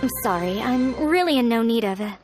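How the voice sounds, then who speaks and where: A woman's voice: a little high, animated, fairly quick, music in the background, lightly acted. A woman voicing an uneasy townswoman who turns people down in a polite but firm way in a game cutscene.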